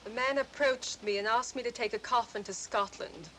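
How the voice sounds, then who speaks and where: A woman's voice: on the high side, normal speed, a bit bright, close to an everyday voice. An actress playing a guarded, evasive woman suspect under questioning in an old mystery film. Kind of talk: 1940s mystery film acting. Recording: noisy vintage film soundtrack.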